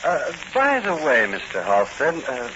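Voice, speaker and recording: An actor playing a cool, wry young police hero in a teasing manner, in 1940s radio style on a noisy recording. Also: male voice, medium pitch, wildly swooping pitch, measured pace, dull audio. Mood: wry, probing. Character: cool, wry rookie patrolman, secretly a masked vigilante.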